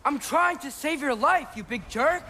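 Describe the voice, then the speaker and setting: A man's voice: extremely high pitch, moderate pace, background noise. A young man playing an anxious, caring teenage boy in a Norse fantasy video game, earnest and often talking to himself. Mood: alarmed, strained. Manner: expressive, talks to himself, earnest. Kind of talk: video game cutscene acting. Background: game music.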